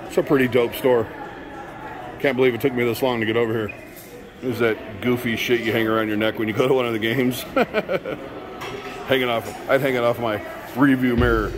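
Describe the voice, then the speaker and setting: A man's voice: mid-range voice, level tone, brisk. A middle-aged man giving casual, slangy vlog commentary, recorded on a handheld camera in a large store. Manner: informal slangy exclamations. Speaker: vlogger. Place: large busy retail store. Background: music.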